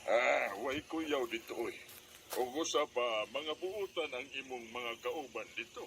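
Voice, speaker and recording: A man's voice: mid-range voice, measured pace, noisy background, natural voice. A man playing a reassuring construction boss in a radio drama, matter-of-fact and directive, with a Cebuano Filipino accent. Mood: businesslike. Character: male construction boss, reassuring, steady authority. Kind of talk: Philippine radio drama acting.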